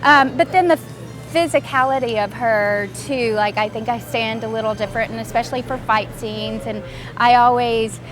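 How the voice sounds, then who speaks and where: Chatty voice actress with a slightly high voice. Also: female voice, normal speed, music in the background, poor audio. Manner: upbeat, chatty, laughs easily. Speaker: voice actress guest. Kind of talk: casual interview chat.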